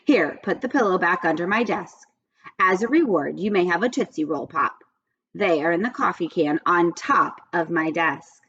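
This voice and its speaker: A woman reads as a brisk female schoolteacher in a storybook, firm and insistent but encouraging. Her pitch moves around a lot, and the sound is a little dull. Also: lively intonation, fairly bright tone, husky, full character voice. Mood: approving. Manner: insistent, no-nonsense.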